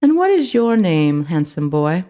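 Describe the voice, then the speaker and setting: A woman voicing a small, cultured female mouse with a put-on French accent, squeaky and proud, sprinkling in French words. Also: sing-song, a little slow, mellow. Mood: squeaky, chatty.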